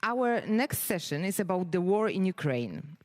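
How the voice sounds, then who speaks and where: A woman's voice: fairly low pitch, sing-song, unhurried, darkish tone. A woman formally introducing sessions and speakers from a conference stage as host.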